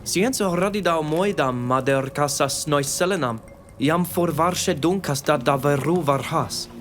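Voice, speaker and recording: An actor playing a polite, earnest young initiate in a fantasy video game. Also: male voice, a little high, a little slow, lightly acted. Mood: serious. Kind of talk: scripted video game dialogue. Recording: faint music behind.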